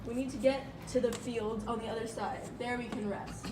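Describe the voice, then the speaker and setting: A woman's voice: a little high, steady tone, normal speed, recorded from a distance, close to an everyday voice. Woman playing anxious little rabbit, noisy echoey room. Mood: insistent. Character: small, worn-out, anxious yet insistent male rabbit. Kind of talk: amateur student puppet show dialogue.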